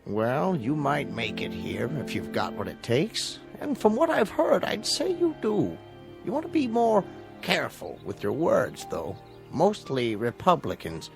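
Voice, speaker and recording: An actor playing a chatty small-town old-timer with folksy, meandering talk in an old television drama. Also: male voice, on the high side, sing-song, a little slow, creaky, faint music behind, heavily acted. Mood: folksy chatting.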